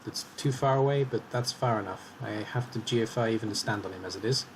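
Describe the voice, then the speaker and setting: A man commentating his own match in a calm, analytical way as he talks through each move, slightly hoarse. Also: on the low side, fast talker, background noise. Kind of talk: casual game commentary.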